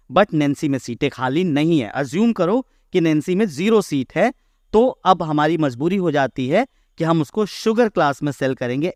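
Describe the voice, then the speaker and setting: A man with an Indian accent giving a step-by-step software lesson in an explanatory way, as an instructor. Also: a little high, dry recording, clean studio sound. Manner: explanatory, step by step, checking understanding. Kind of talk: software tutorial narration mixing Hindi and English.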